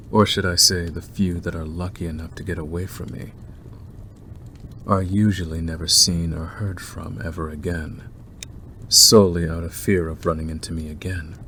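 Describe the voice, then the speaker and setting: A predatory male werewolf played by a man murmurs to the one he is obsessed with in an ASMR roleplay. His voice is very low and takes its time, teasing and softly menacing, with clear ambient noise behind it. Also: full character voice. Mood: probing, menacing. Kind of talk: ASMR roleplay monologue to the listener. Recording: clearly noisy background ambience.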